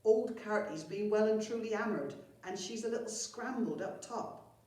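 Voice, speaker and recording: A woman voicing a cautious goblin underling in a children's storybook, with a careful, halting delivery. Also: mid-range voice, darkish tone, voice pushed up, natural voice. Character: cautious, hesitant goblin underling reporting to his boss. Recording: very echoey room, far from mic.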